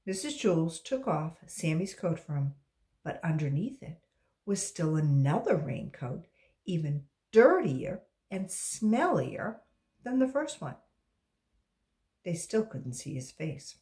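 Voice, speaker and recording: A woman reading a story aloud to young children, expressive and emphatic, as a volunteer reader. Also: deep voice, slow.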